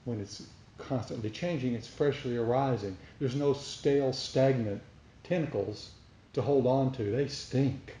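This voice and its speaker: A man giving a reflective spiritual talk to a group as a meditation teacher, speaking deliberately with pauses between short phrases. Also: mid-range voice, dark tone. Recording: roomy echo, faint background noise.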